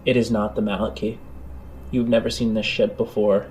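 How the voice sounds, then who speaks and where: A man's voice: lowish voice, flat delivery, moderate pace, a little mellow, slight background noise, low-quality recording. A man working as game master, describing scenes in a tabletop roleplaying game in a conversational but dramatic way. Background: background music.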